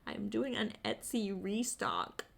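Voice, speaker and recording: Upbeat woman vlogger, a bit gravelly from a flu, slow and lively in pitch, faint crinkling behind her. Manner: bubbly, giggly, talks to viewers. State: sick with flu. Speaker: vlogger and English teacher. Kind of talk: casual unboxing chat. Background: crinkling packaging.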